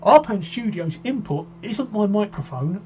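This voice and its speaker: A man's voice: high-pitched, very animated, unhurried, mellow, slight background noise. Chatty man in his sixties, muffled stream audio. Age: 60s. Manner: chatty, rambling, self-repeating. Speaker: livestream host. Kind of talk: casual live-stream chat reading viewer comments. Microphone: desk microphone.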